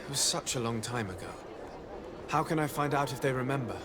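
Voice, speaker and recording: A man's voice: medium pitch, lively intonation, brisk, noisy background, lightly acted. An actor playing a polite, earnest young nobleman hero in a game. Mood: uncertain. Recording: game music under voice.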